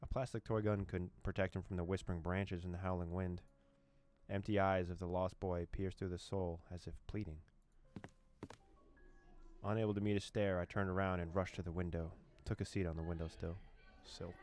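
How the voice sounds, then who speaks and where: A young man from the former USSR reading a horror visual novel aloud, with quick laughs and reactions between lines. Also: sits low, level tone, a little mellow. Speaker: let's play host.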